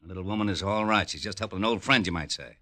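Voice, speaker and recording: Deep, hard-edged menacing gunman. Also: rapid-fire, bright, forceful tone. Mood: cool menace. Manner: cool, threatening, tough slang. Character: menacing, smug male gunman crook. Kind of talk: old-time radio crime drama acting. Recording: vintage radio drama recording.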